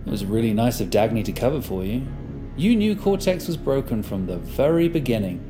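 A man's voice: mid-range voice, big pitch swings, a little fast, natural voice. Man acting smug controlling boss, condescending, coaxing, mid-range voice, audio drama, music behind. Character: smug, controlling male leader, falsely reasonable.

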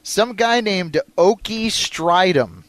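A man hosting a sports radio show, talking casually with playful, excitable banter and reading sponsor spots. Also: high voice, takes it slowly, no echo.

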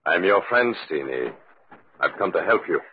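Deep mysterious spirit stranger. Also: male voice, sing-song, normal speed. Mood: sly, inviting. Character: gaunt, mysterious spirit stranger, slyly helpful. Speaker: actor in radio drama. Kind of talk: 1940s radio acting. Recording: muffled, noisy old radio recording with clicks.

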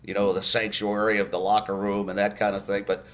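Older man, lowish voice, easygoing storyteller. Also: flat delivery, a little fast. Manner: storytelling, many fillers and restarts. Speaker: radio play-by-play announcer. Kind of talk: relaxed interview chat.

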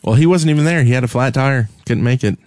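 A man's voice: mid-range voice, quick pace, a little mellow, no echo, studio quality. A man co-hosting a podcast, casually telling gaming stories in a conversational way and acting out the dialogue as he goes. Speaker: podcast co-host and game server admin.

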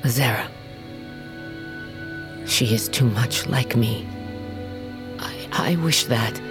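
A woman's voice: a bass voice, slow. An actress playing an aging, dying warrior queen, blunt and regretful, in a fantasy audio drama. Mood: wistful, regretful. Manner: weighty, regretful, rough-tongued. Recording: music underneath.

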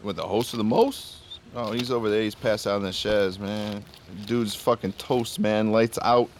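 An actor playing a laid-back, evasive young party drug dealer who talks in stoner slang, in a naturalistic audio drama. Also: male voice, fairly low pitch, steady tone, normal speed, natural voice. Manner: slangy stoner talk, peppered with bro and man. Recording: faint ambient background noise.

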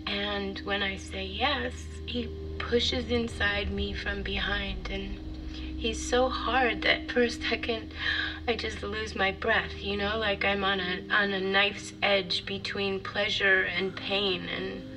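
An actress plays a wistful woman confiding in an intimate audio drama monologue. She speaks slowly at a middle pitch, halting and breathy. Music plays clearly behind her. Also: level tone, lightly acted, poor audio. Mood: sensual, absorbed.

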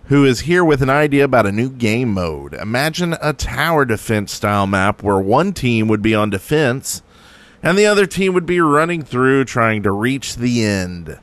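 A man's voice: medium pitch, very animated, moderate pace. A man hosting a podcast in casual chat, reading out listener emails. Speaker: podcast host.

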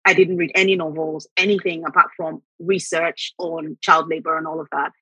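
A middle-aged woman from Nigeria whose first language is Yoruba, telling reflective stories about her writing and repeating words when she gets excited. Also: low-pitched, moderate pace, breathy edge, no room sound, highs cut off. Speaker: author guest. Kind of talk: conversational interview.

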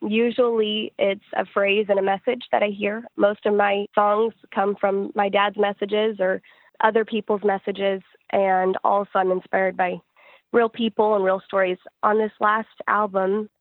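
A woman's voice: mid-range voice, dry recording, narrow, tinny audio. A woman singer-songwriter answering interview questions in an earnest, reflective, conversational way.

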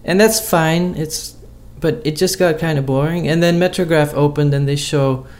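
A man's voice: a little high, fairly even intonation, normal speed, a little mellow. A man co-hosting a casual chat, talking in a hesitant way with many fillers and restarts.

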